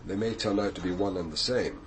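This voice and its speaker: An actor playing a brisk, courteous senior police detective, in naturalistic radio drama. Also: male voice, low-pitched, slight background noise. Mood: businesslike.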